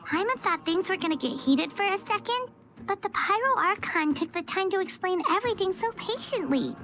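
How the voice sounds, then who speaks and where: A woman voicing a small, chirpy female companion creature in a game, bubbly and high. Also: expressive, fairly quick, a bit bright, soft background music, exaggerated voice. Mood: impressed. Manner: high, bubbly, talks of herself by name. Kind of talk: game voice acting.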